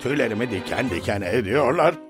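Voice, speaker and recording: A man's voice: mid-range voice, lively intonation, quick pace, over music, lightly acted. A man voicing a boastful old mustached hot air balloon in a dubbed cartoon. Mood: sheepish. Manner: boastful, shrieking, gravelly.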